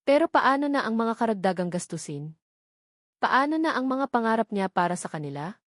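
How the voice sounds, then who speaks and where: A woman with a Filipino accent narrating a dramatic story in a measured, sentimental way. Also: a little high, big pitch swings, fairly bright tone, dry recording, clean studio sound.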